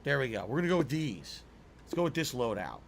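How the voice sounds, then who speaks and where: A man with a higher-pitched voice, streaming a video game and complaining about how hard it is. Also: sing-song, fast talker, gravelly, background noise. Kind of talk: live gameplay stream talk. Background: game music.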